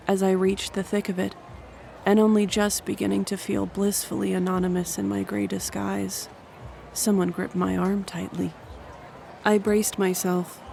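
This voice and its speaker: A woman playing a solemn guardian who narrates her own memories in deliberate, descriptive first person. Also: medium pitch, vocal fry, darkish tone, a little hiss or hum.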